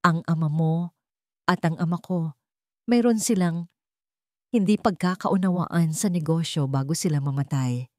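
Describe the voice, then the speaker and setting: A woman's voice: low-pitched, moderate pace, long pauses, a little mellow, no room sound, studio quality, lightly acted. A woman voicing an anguished, protective young man in a romantic audio drama, solemn and earnest. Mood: remorseful, confessing. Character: anguished, protective young male CEO confessing forbidden love.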